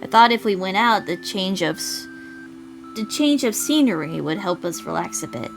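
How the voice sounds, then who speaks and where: A woman's voice: medium pitch, expressive, normal speed, vocal fry, a little hiss or hum. A woman reading as a brooding, dependent young man narrating a visual novel, introspective and earnest. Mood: regretful.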